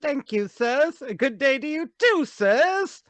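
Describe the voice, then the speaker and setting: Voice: high-pitched, big pitch swings, slow, a bit bright, dry recording, voice pushed up, full character voice. A performer voicing a chatty, folksy, easily alarmed English woman who keeps a small-town shop, in a radio drama read. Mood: polite, chatty. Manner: deferential, folksy.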